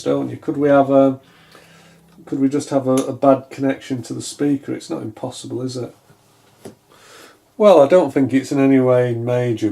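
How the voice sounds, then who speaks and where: Man, mellow dark tone, mid pitch, casual repair commentary, tentative, thinking aloud. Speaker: electronics repair hobbyist, video host. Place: indoors at a wooden workbench.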